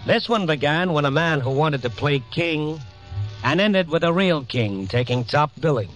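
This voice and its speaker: Old-time radio: American night reporter, hard-boiled, dramatic storyteller, music behind. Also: male voice, fairly high pitch, lively intonation, normal speed, fairly bright tone. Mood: brooding narration. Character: hard-boiled, sympathetic male night-beat newspaper reporter. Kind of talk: vintage radio drama narration. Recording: vintage radio recording.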